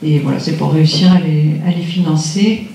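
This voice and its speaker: A man's voice: a little high, brisk, vocal fry, mellow, slight background noise. A man presenting a budget at a council meeting, plainly reading figures with some hesitations. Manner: matter-of-fact, reads figures with hesitations. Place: large municipal function hall. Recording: echoey hall, mic far off.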